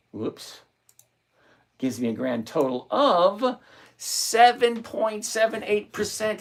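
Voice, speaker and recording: A man hosting a live stream, talking through his options trades out loud and answering viewers by name in a chatty way from a home desk full of screens. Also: fairly high pitch, creaky. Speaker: livestream host and options trader. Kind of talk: live-stream trading commentary, reading chat aloud.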